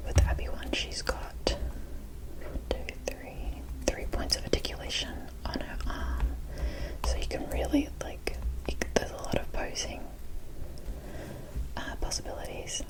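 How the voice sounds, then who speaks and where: A woman whispering an ASMR show-and-tell in a soft, rambling, appreciative way. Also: a little slow, low-quality recording. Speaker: ASMR host showing a doll collection.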